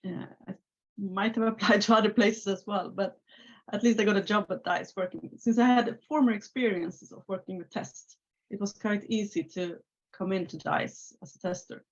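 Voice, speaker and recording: A woman's voice: medium pitch, steady tone, moderate pace. A Swedish woman in her thirties with a Swedish accent, talking casually as an interview guest over a video call, with many filler sounds and restarts. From Sweden. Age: 30s. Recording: slightly muffled video call audio.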